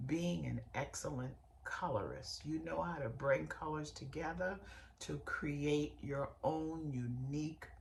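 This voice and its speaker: A hoarse middle-aged woman with a cold, her voice low and gravelly. She talks slowly and chattily, speaking straight to her listeners as she hosts a podcast lesson, with a faint ambient hiss behind her. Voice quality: raspy, bassy voice. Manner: warm, chatty, direct address to listeners. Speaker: podcast host, hairstylist and coach.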